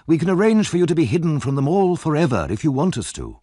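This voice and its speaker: A man reading aloud and voicing a kind older gentleman who urges and persuades earnestly. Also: a little high, sing-song, fast talker, breathy edge, no echo, clean studio sound, voice pushed up. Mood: urgent.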